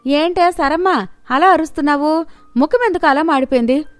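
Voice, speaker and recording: A woman's voice: high-pitched, normal speed, dry recording, faint music behind, pitched above the performer's own voice, lightly acted. A woman voicing a resigned, gossipy village neighbour in a storybook tale, homely and emphatic. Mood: alarmed.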